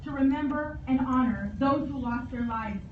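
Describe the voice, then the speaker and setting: High-pitched American woman giving a solemn speech. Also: distant mic. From San Antonio, Texas, United States. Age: 40s. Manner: solemn, measured phrasing. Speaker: guest speaker, veterans service officer. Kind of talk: formal memorial speech. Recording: echoey outdoor PA from afar, dull, faint noise.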